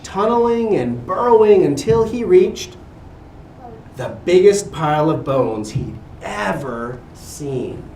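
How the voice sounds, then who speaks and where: A man giving an expressive picture-book reading to children, pausing for little asides. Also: on the high side. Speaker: guest reader at a school. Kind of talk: animated picture-book read-aloud to children. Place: indoor school room with children. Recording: roomy, distant mic with background noise.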